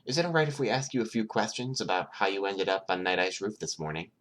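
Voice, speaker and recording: A narrator playing a gentle, careful male detective in an audiobook, questioning softly and with measured words. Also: low-pitched. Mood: gentle, probing. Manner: soft-spoken, measured questioning. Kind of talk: acted audiobook dialogue.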